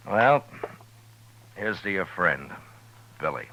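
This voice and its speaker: An American actor playing a terse, skeptical, world-weary frontier marshal in a 1950s radio drama, with a dry, probing manner. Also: male voice, low-pitched, very animated, takes it slowly, bright, forceful tone, full character voice. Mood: probing, casual. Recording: noisy vintage 1950s radio recording.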